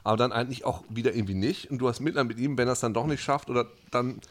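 A man's voice: mid-range voice, fairly even intonation, racing. A man co-hosting a casual podcast chat, rambling with lots of fillers and restarts, and laughing easily. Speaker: podcast co-host.

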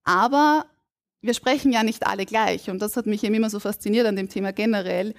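A woman from Burgenland with an Austrian German accent, a linguist on a live podcast stage, explaining in a measured, academic way. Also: mid-range voice. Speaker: guest linguist. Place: stage before a live audience.

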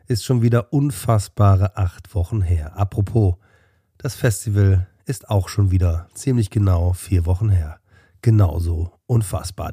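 A man's voice: lowish voice, dark tone, no echo, studio quality. A man hosting a podcast, narrating a solo introduction while he has a cold. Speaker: podcast host.

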